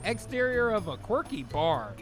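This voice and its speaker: A man's voice: sits high, very animated, moderate pace. A man with a casual, wry manner narrating a loose, joking comedic table read. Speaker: narrator and improv performer. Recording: voice over clear background music.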